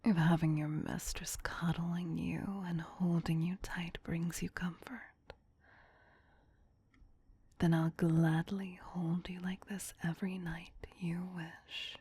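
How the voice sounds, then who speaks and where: A woman playing a doting, possessive vampire queen in an ASMR roleplay, whispering softly and soothingly in an intimate, coaxing manner. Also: fairly low pitch, level tone, takes it slowly, no room sound, exaggerated voice. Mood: tender, affectionate. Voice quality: breathy, soft whisper.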